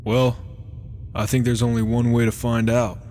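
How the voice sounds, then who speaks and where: A man's voice: medium pitch, level tone, normal speed, warm tone, natural voice. A man roleplaying an impatient, proud, reclusive warrior in a video game, talking in a grumbling, questioning way. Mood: grumbling, determined. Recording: faint noise, rain behind, mic issues.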